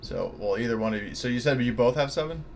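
Casual, sweary man of average pitch. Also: animated, quick pace, vocal fry, a loud crowd. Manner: informal, sweary. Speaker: player. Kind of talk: casual game chat. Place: indoor online voice session.

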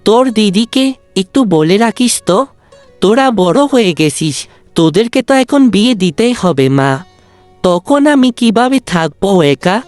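A woman voicing a kind, worried father in a cartoon story, gentle and consoling. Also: mid-range voice, lively intonation, moderate pace, no echo, natural voice. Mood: confiding, wistful. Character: kind, worried, ageing father of two daughters. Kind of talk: Bengali cartoon story dubbing. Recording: dry, music bed under the voice.

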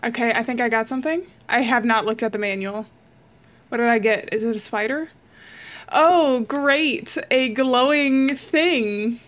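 A woman's voice: fairly high pitch, unhurried, fairly bright tone. A young woman playing a horror game, chatty and jittery, joking at her own fear, on a studio mic in a small room at home. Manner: jittery, chatty, self-mocking. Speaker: gaming YouTuber. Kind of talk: horror game let's play commentary. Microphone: studio mic with pop filter, headphones.

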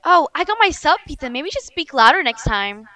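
A high-pitched evil stepmother acted out by a woman for a game roleplay. The voice is bright and girlish, gushing with fake sweetness and full of gloating spite. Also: big pitch swings, a little fast, dry recording, heavily acted. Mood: scolding. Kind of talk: improvised video game roleplay. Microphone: headset.

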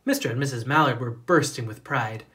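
A storybook reader narrating a children's picture book in a deliberate, expressive storytelling manner. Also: mid-range voice.

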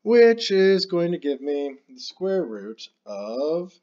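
A man's voice: on the high side, big pitch swings, slow, mellow, highs cut off. A man teaching a calculus problem step by step while writing it out on screen. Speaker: math teacher. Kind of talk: screen-recorded math lesson.

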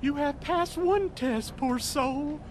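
An eerie, mocking fat man in a wrestling video game. The voice is extremely high and drawn out, theatrical and condescending, full of menace. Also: slow, slight background noise. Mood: ominous. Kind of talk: video game cutscene acting.

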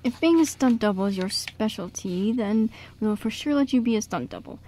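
A woman playing an excitable, old-fashioned male theater director in an amateur radio play. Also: fairly high pitch, normal speed. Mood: musing.

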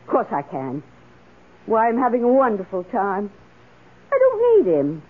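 A wry elderly woman from a seventies radio play, a little tipsy and loyal. Her theatrical speech is very animated, heard through a thin, band-limited old broadcast. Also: on the high side, measured pace, phone-quality sound, full character voice. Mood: resolute, merry. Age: older. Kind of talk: 1970s radio drama acting.

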